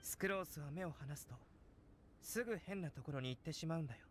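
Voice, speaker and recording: A man voicing a calm, gentle, scholarly young alchemist in an anime game, composed and measured. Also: a little high, slight background noise, lightly acted. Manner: composed, measured, softly probing.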